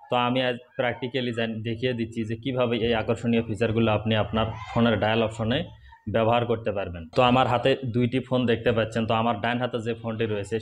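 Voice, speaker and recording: A man's voice: medium pitch, slight background noise. A man hosting a tech tips channel, giving step-by-step phone instructions straight to viewers. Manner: addresses viewers directly, instructional. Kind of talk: step-by-step phone tutorial narration.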